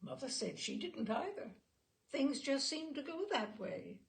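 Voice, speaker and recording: A woman storyteller reads literary prose aloud with theatrical phrasing. Her pitch moves in lively swoops, and her voice often drops into a gravelly creak. She shapes each clause expressively, as someone telling a tale. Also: a little slow. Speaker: storyteller reading aloud to an audience. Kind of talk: literary storytelling with informal introduction.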